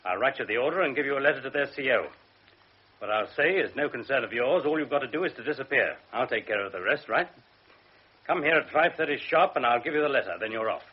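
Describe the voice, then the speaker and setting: An actor playing a blustering army colonel in an old radio drama, barking orders. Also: male voice, medium pitch, lively intonation, fast talker, husky. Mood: stern. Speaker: commanding officer. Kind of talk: 1960s radio drama acting. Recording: dull lo-fi radio broadcast.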